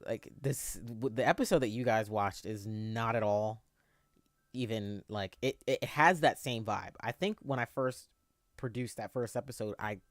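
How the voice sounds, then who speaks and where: A man in his twenties chatting casually on a live stream, answering viewers in a rambling, halting way with lots of fillers and restarts. He has a youthful, boyish-sounding voice. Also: fairly low pitch, no echo, studio quality. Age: 20s.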